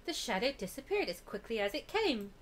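A woman's voice: on the high side, very animated, a little fast. A woman hosting a game playthrough, switching between reading aloud and casual chat. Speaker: gaming video host. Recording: game music behind the voice.

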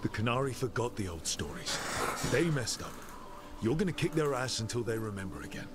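An actor playing a supportive, teasing armored hero and romantic partner in a video game. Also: male voice, medium pitch, very animated, fairly quick, natural voice. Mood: reassuring. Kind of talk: video game dialogue acting. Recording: game dialogue under loud music.

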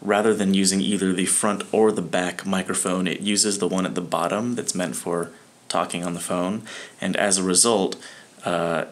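A young man in his twenties talking casually into a phone camera in a dark room at night, grumbling in a low-key way. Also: steady tone, normal speed. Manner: complaining, low-key late-night talk. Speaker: vlogger. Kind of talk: casual daily vlog. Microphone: phone camera held close.

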